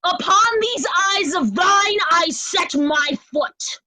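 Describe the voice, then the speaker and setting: A sadistic, commanding lord from Shakespearean tragedy, played by a woman. The voice is very high and sharp, and the words come extremely slowly. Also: lively intonation, no echo, heavily acted. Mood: menacing. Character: cruel, commanding nobleman Cornwall, sadistic interrogator. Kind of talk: Shakespearean readers' theatre.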